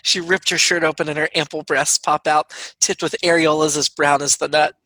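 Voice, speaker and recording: A man's voice: a little high, little pitch movement, moderate pace, sharp tone. A man reading a scripted comic story aloud to listeners, as its writer and performer. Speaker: comedy troupe writer reading own story.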